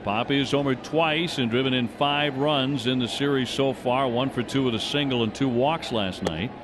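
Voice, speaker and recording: A man's voice: medium pitch, normal speed. A man doing live play-by-play commentary of a baseball game as a radio announcer. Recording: noisy live ballpark radio broadcast. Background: stadium ambience and noise.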